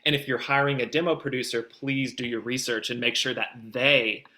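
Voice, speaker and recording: A man's voice: mid-range voice, fairly even intonation, fairly quick, pushed and bright. A man giving conversational expert advice as a guest producer, in an explanatory, emphatic way.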